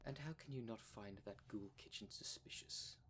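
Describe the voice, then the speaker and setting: Man playing a courteous, low-voiced pedantic dream demon. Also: normal speed, fairly bright tone, slight background noise, close to an everyday voice. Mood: pedantic, concerned. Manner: formal, wordy, precise diction. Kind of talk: ASMR roleplay audio drama.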